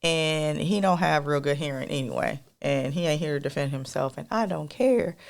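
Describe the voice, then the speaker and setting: A woman co-hosting a podcast in a casual, rambling monologue with a soft, melodic voice, talking straight to listeners as y'all. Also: low-pitched, moderate pace, creaky. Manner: rambling, addresses listeners as y'all, many fillers. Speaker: podcast co-host.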